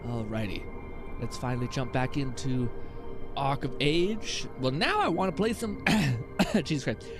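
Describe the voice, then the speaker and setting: A man's voice: medium pitch, expressive, measured pace, music in the background. A young adult man streaming live, chatting with his viewers in a rambling, laugh-filled way with many restarts. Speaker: streamer reading chat. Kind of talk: casual live-stream chat.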